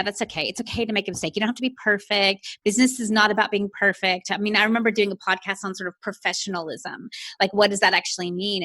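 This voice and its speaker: A woman's voice: medium pitch, bright, forceful tone, no echo. Passionate North American woman coach. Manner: passionate, explanatory, with many "you know" fillers. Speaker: business coach guest. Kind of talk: casual interview chat.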